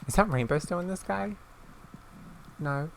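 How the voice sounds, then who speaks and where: A woman's voice: fairly low pitch, lively intonation, measured pace. A woman doing chatty let's-play commentary, exclaiming and talking to the characters on screen. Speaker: gaming video creator. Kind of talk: let's-play commentary, reading game dialogue aloud. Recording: clear background noise with game ambience.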